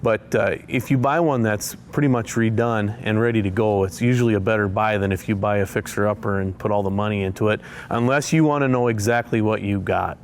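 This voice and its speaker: Plainspoken low-voiced auction house man. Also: brisk, few pauses. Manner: plainspoken, matter-of-fact. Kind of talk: casual interview answers.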